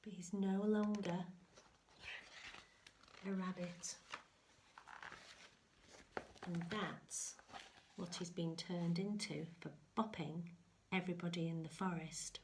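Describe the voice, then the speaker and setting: A woman reading a picture book aloud to young children, mixing expressive sing-song lines with hushed chatty asides. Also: on the low side, takes it slowly, pauses often, slight background noise. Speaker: infant school story reader.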